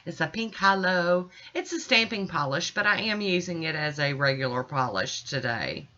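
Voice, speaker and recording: A woman's voice: low-pitched, animated, normal speed, bright, forceful tone, highs cut off. A middle-aged American woman giving a chatty, folksy and informal voice-over as a nail art vlogger. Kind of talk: casual tutorial voice-over.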